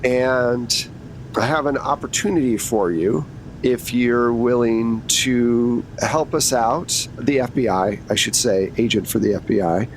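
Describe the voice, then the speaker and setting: A man's voice: measured pace, natural voice. A man playing a composed, businesslike female FBI agent in her thirties in a tabletop game, matter-of-fact and persuasive. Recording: noticeable low rumbling background noise.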